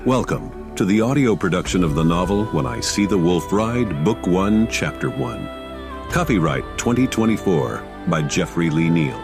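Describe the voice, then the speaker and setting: A man reading his own novel as a scripted audiobook, dramatic and solemn in a deliberate storytelling manner. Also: low-pitched, creaky.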